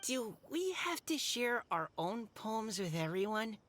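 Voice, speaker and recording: Timid youth with lively pitch. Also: female voice, unhurried, slight background noise. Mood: tentative. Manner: tentative, apologetic. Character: timid, self-doubting, polite youth. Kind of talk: video game voice acting. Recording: music behind the voice.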